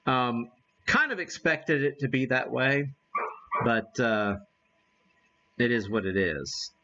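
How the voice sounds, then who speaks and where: A man talks casually as he hosts a live demonstration. His delivery is slow and halting, as if working things out aloud, with a folksy, self-deprecating manner. The recording is somewhat dull and lo-fi. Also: medium pitch, lively intonation, pushed and bright, a little hiss or hum. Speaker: host of a live ham radio demonstration. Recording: dull, muffled lo-fi sound.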